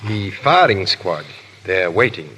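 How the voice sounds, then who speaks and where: A man's voice: low-pitched, very animated, slow, pushed and bright, heavily acted. A man acting a cold, scheming French revolutionary villain in a 1930s radio drama, clipped and coldly formal. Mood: gloating. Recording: reverberant, noisy 1930s radio broadcast.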